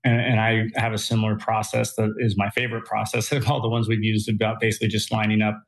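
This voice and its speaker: A man's voice: lowish voice, monotone, fast talker. A man hosting a podcast interview who responds with enthusiasm and asks curious, rambling questions. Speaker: podcast host. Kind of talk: conversational interview.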